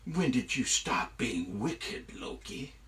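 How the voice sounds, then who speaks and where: A man telling a myth aloud, voicing a huge, menacing shapeshifting giant who speaks slowly and makes demands. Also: medium pitch, lively intonation, pushed and bright, recorded from a distance. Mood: goading. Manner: slow, deliberate, demanding. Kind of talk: live oral myth storytelling.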